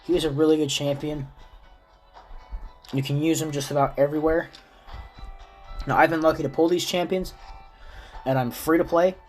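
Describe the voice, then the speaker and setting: A man talks through a game guide in a relaxed, chatty way, his voice a bit stuffy and raspy from a lingering cold. He sits a touch higher than most men in pitch. Faint music plays quietly behind him. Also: moderate pace, pauses often. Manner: explains step by step, relaxed and chatty. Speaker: gaming video creator. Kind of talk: casual game guide commentary.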